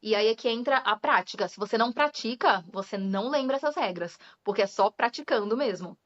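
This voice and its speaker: Brazilian woman, young adult, English teacher, chatty guest, slightly high voice, dull recording. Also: animated, a little fast. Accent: Brazilian Portuguese. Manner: chatty, laughs often, drops English words into her talk. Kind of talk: casual interview chat. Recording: dull lo-fi sound.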